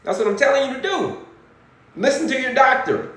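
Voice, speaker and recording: A man in his forties, an energetic health coach hosting a livestream, animated and repetitive as he preaches to viewers and reads chat comments. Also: extremely high pitch, fairly quick. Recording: very echoey room.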